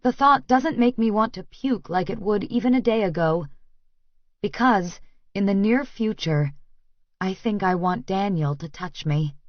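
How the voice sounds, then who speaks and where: A woman's voice: mid-range voice, measured pace, dry recording, muffled recording. A woman reading first-person romance fiction as an audiobook narrator, in a scripted storytelling style.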